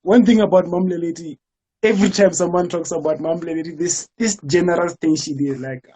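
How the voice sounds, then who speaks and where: A woman's voice: on the low side, steady tone, fairly quick, warm tone, a little hiss or hum. A woman with a South African accent hosting a casual, slangy interview. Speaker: interview host.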